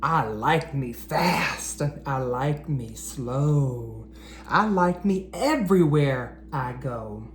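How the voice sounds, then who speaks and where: Male educator, children's read-aloud, lively swooping intonation, encouraging delivery, roomy echo. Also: mid-range voice, takes it slowly. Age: adult. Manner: expressive, encouraging, addressing children directly. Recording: roomy echo, faint background noise.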